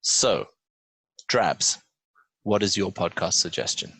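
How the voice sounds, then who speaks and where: A man with a fairly low voice hosts a relaxed podcast chat. He is playful and jokey as he talks to his listeners and friends. The sound is dry, with very little room in it. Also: takes it slowly, slightly rough, no echo, poor audio. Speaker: podcast host.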